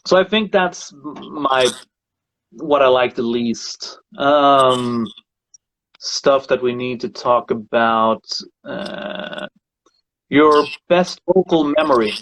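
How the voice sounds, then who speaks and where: A male singer hosting a casual chat about voices, speaking thoughtfully and halting often to find his words. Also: mid-range voice, expressive, vocal fry, fairly bright tone, dry recording, poor audio. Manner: thoughtful, halting, repeats words while searching. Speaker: singer and show host. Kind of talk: casual conversation about singing.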